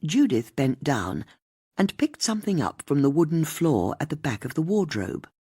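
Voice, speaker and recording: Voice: medium pitch, sing-song, moderate pace, mellow, breathy edge, dry recording, studio quality. An audiobook narrator reading scripted mystery fiction.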